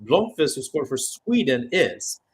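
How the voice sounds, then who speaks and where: A man's voice: medium pitch, sing-song, a little slow. A man giving live radio-style football commentary as the play-by-play caller, conversational and thinking aloud between bursts of calling the action.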